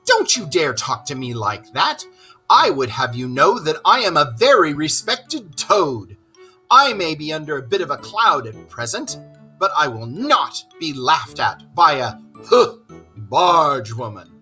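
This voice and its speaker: Man acting out a pompous, blustering storybook toad, sharp-edged. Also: on the high side, sing-song, measured pace, faint music behind, full character voice. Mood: furious, indignant. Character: vain, hot-tempered male toad, indignant and defiant. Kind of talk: children's storybook read-aloud.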